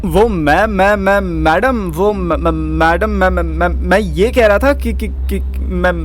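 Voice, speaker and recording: A man's voice: high voice, takes it slowly, slight background noise, voice pushed up. A man playing a timid, frightened young man in an audio drama, stammering and pleading. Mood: panicked stammering. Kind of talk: Hindi audio drama acting.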